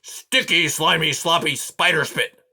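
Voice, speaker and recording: A man voicing a grumpy, complaining male porcupine who snarls and shouts in loud outbursts. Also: mid-range voice, animated, measured pace. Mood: furious.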